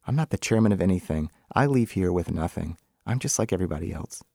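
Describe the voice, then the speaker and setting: An earnest, thwarted man acted by a male reader in a short story. He speaks low, plainly and a little defensively, with a dry sound. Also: animated, fast, gravelly, warm tone, no echo, exaggerated voice. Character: earnest, thwarted man leaving his life behind.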